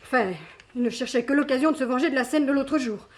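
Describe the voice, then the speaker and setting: An actress as an anxious high-voiced young waitress. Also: female voice, fast, natural voice. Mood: earnest. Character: anxious young café waitress defending her accused fiancé. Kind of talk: French radio mystery play acting.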